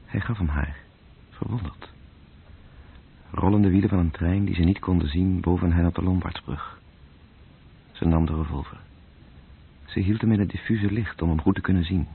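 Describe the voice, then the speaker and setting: Low-voiced male radio-play narrator, very breathy, terse measured storytelling, tinny band-limited recording. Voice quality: gravelly, breathy. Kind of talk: scripted literary radio drama narration.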